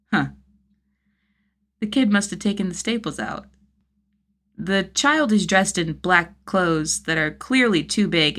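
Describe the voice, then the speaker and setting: Female audiobook narrator, slightly low voice, expressive scripted storytelling. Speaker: audiobook narrator of fan fiction.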